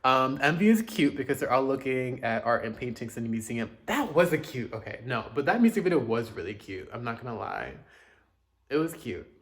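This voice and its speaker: A man's voice: medium pitch, big pitch swings, a little fast. A man casually chatting through his music reviews, chatty and self-deprecating, with joking asides. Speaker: K-pop reaction host.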